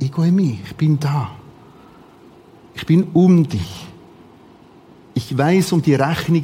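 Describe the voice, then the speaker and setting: A man with a Swiss German accent preaching a sermon in short, repeated, emphatic phrases, with a husky voice. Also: medium pitch, dark tone.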